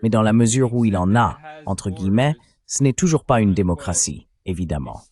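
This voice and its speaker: A man's voice: fairly low pitch, animated, a little fast, dark tone. A man delivering political commentary as an analyst, in an emphatic, argumentative way.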